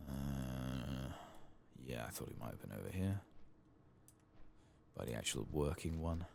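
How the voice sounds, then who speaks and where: Guy doing asmr gaming commentary, super low creaky voice, half whispering, just mumbling along as he plays. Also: measured pace, background noise. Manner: relaxed, unscripted murmuring, thinking aloud. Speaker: gaming video host. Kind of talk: soft-spoken and whispered ASMR game playthrough commentary.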